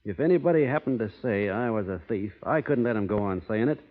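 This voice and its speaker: A stoic American cowboy foreman in a vintage radio western. His voice is low, with a plain, restrained drawl of a man slow to anger. The broadcast is muffled and narrow, ringing in a hall. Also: lively intonation. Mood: grave, resolute. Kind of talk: 1940s radio western acting.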